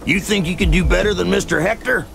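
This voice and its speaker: An actor playing a hearty, feisty older uncle and ranch owner in a Western video game. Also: male voice, high-pitched, fast, creaky, music in the background. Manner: hearty, rallying, colourful. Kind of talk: dramatic video game cutscene acting.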